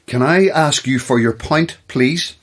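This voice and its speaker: A man's voice: mid-range voice, unhurried, close to an everyday voice. An actor playing a brusque, sceptical male radio host in an audio horror drama. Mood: curtly impatient. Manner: brusque, exasperated, sardonic.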